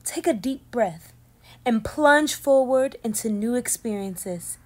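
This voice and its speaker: Young lady teacher doing a kids read aloud, high pitched, takes it slow, expressive and encouraging. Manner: animated, deliberate, encouraging delivery. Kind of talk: expressive children's picture book read-aloud. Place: small indoor room against plain backdrop.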